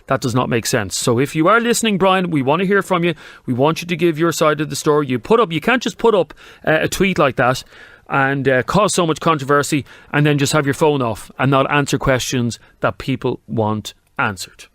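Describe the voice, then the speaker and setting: A man's voice: on the high side, expressive, brisk, a bit bright, no echo, clean studio sound. An Irish man hosting a talk radio show, pressing his points emphatically and firing questions at callers. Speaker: radio host. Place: radio studio. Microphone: studio mic.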